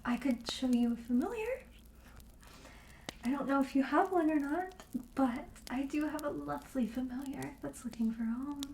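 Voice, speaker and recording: A woman playing a friendly, soothing witch shopkeeper in an ASMR roleplay, speaking gently and hesitantly and drifting into whispers. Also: high-pitched, moderate pace, reverberant, slight background noise. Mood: gentle, explanatory. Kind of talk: soft-spoken ASMR roleplay.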